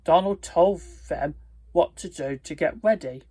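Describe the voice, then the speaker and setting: A storybook reader and video host with a halting, careful delivery and stumbles, reading aloud in a husky voice. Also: medium pitch, mellow, dry recording. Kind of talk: read-aloud storybook narration.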